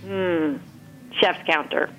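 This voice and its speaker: A woman's voice: slow pace, a bit bright, dull audio. A woman speaking as an interview guest in an informal chat, thinking aloud as she answers. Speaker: interview guest, food writer. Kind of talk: casual chat. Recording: clearly noisy background.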